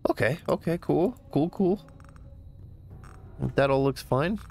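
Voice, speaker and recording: Male gamer, stuffy nose, low gravelly voice, casual think-aloud commentary over faint game music. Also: animated, takes it slowly, darkish tone. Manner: thinking aloud, easygoing, upbeat exclamations. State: stuffy, congested voice. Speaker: gamer playing a new game. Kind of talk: casual let's-play commentary.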